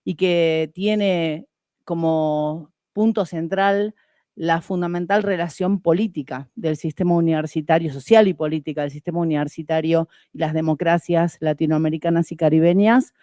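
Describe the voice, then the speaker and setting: A woman's voice: sits low, steady tone, mellow, no echo, clean studio sound. A woman from Argentina speaking fluently and formally as a university rector on a panel. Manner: articulate, fluent and measured. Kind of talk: formal academic panel talk.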